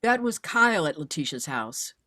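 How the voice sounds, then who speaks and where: A woman's voice: sits low, big pitch swings, normal speed, sharp tone, no echo, clean studio sound, heavily acted. A woman reading the part of a defiant amateur investigator in a screenplay table read. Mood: tense. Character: defiant amateur female investigator, quick to retort.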